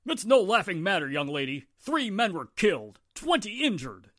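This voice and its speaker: An actress playing a blustering, indignant small-town mob spokesperson in a 1940s radio drama, with an accusing manner. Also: female voice, medium pitch, sing-song, normal speed, fairly bright tone, no room sound, studio quality. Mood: stubborn, indignant.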